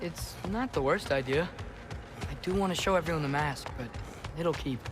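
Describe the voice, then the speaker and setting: A man's voice: on the high side, moderate pace, over loud music, lightly acted. An actor playing an earnest, hopeful teenage boy adventurer in a video game. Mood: easygoing. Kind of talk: video game character acting.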